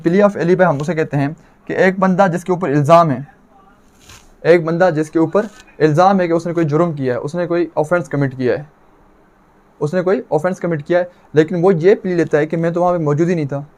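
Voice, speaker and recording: A man's voice: on the high side, fairly quick, a little mellow. A man with a Pakistani accent lecturing on law in a didactic way, repeating his points and posing questions that he answers himself. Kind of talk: explanatory exam lecture.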